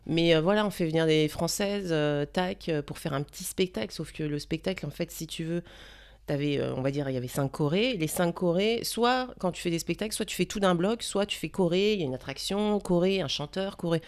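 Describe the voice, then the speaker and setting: A woman guest telling an anecdote in casual, slangy talk-show style, animated and self-mocking. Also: fairly low pitch, brisk.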